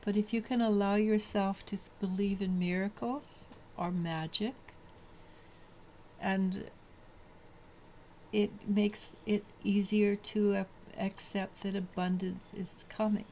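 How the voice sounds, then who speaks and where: Woman tarot reader, low mellow voice, flat delivery, halting. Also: slight background noise. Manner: halting, many restarts and fillers. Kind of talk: daily tarot reading talk.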